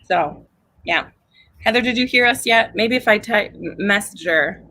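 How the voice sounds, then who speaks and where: A woman's voice: medium pitch, measured pace, sharp tone, no echo. A woman hosting a casual book livestream on a video call, informal and playful. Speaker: livestream host.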